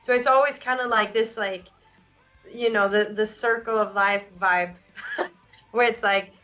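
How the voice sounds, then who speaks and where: A woman singer with a naturally raspy voice, answering interview questions in a loose, candid way, full of "like" and "you know". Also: mid-range voice, steady tone, unhurried, sharp tone, faint music behind. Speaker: band singer.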